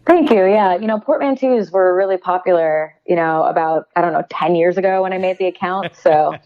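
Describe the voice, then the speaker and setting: A woman with a fairly low voice chats casually and enthusiastically as an interview guest. The sound is dull and lo-fi, as over a remote connection. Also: fairly quick. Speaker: guest, publisher's communications manager.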